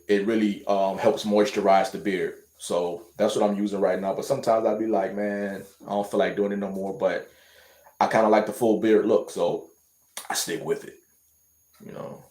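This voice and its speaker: A man's voice: low-pitched, a little mellow, roomy sound. Laid-back man with a light Louisiana lilt. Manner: laid-back, rambling, lots of fillers and restarts. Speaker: hair vlogger answering viewer questions. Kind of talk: casual livestream chat.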